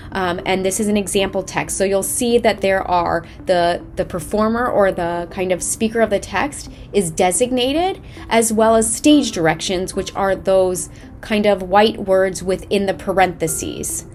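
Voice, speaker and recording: A woman narrating a scripted lesson as a drama instructor, measured, explanatory and carefully enunciated. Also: mid-range voice, darkish tone. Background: background music.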